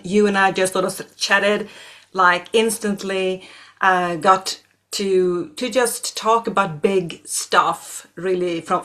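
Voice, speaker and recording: A woman's voice: lowish voice, fairly bright tone. A middle-aged Swedish woman with a Swedish accent, a native Swedish speaker, speaking as an astrologer guest in an interview, hesitant with many fillers and restarts. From Sweden. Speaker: astrologer and regression therapist guest. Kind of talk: conversational interview.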